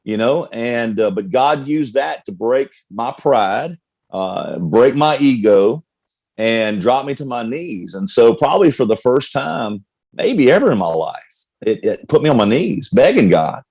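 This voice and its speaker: A man's voice: medium pitch, big pitch swings, a little slow, darkish tone, no room sound. A middle-aged man, a pastor, telling his life story candidly in conversation, with frequent restarts. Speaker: pastor, guest. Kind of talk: personal testimony in conversation.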